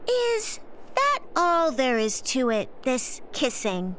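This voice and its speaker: An innocent teenage girl, acted by a woman in an old-time radio sci-fi play. Her voice is very high and lilting, polite and earnest, with faint noise behind it. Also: wildly swooping pitch, takes it slowly, a bit bright, heavily acted. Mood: curious, earnest. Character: naive, sheltered teenage girl, sweet and curious.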